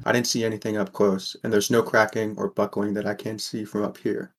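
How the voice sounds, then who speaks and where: A man's voice: lowish voice, flat delivery, fairly quick, natural voice. A man playing a weary, wry sixteen-year-old boy from a poor mining town, narrating a fan audio drama in the first person. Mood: brooding, matter-of-fact.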